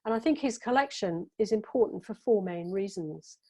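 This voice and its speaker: A woman's voice: mid-range voice, expressive, dark tone. Woman reading an academic paper. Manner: measured, careful delivery with occasional fillers. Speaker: academic conference speaker.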